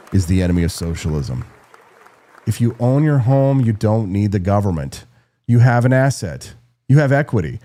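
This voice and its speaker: A man's voice: mid-range voice, mellow. A man hosting a political commentary show, delivering a punchy, sarcastic monologue while losing his voice. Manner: punchy, sarcastic, repeats "right?".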